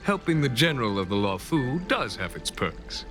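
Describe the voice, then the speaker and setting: A man voicing a composed, courteous general in a video game, formal, calm and measured. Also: mid-range voice, music in the background, lightly acted. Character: composed, courteous male general, seasoned warrior. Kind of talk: video game character acting.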